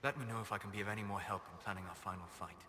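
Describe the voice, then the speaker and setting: A man playing a reserved, solemn elven mage in a fantasy game, speaking formally and with measured restraint. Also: lowish voice, fairly even intonation, bright, forceful tone, in a large hall, slight background noise. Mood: guarded, firm. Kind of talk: dramatic fantasy game dialogue.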